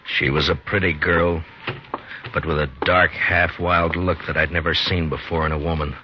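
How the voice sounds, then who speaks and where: An actor playing a stoic American marshal in a 1950s radio western, terse and measured as he narrates and talks. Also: a bass voice, flat delivery. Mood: grim, reflective. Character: grim, steady male US marshal narrating his western tale. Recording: dull, noisy 1950s radio recording.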